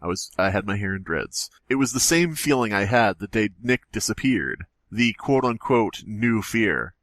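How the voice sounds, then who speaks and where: A man's voice: fairly low pitch, lively intonation, normal speed, fairly bright tone, no echo. A man co-hosting a podcast, mixing casual read-aloud with sweary, wisecracking chat. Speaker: podcast co-host.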